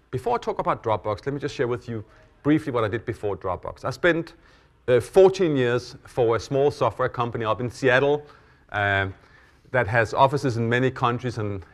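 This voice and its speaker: A middle-aged man from Denmark with a Danish accent, speaking as host of a conference stage session in a relaxed, self-deprecating way, often pausing on "uh" and "um". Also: medium pitch. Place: conference hall.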